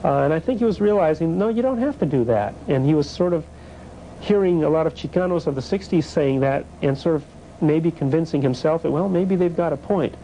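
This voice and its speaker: Thoughtful man with a mellow voice. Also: on the high side, expressive, fairly quick, highs cut off, slight background noise. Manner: thoughtful, hedging with "sort of" and "you know". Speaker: interviewee commentator. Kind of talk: reflective documentary interview.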